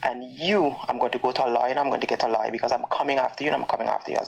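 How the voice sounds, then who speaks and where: A man's voice: medium pitch, fairly even intonation, rapid-fire, creaky, husky, low-quality recording. A man giving a confrontational warning in a threatening, insistent manner.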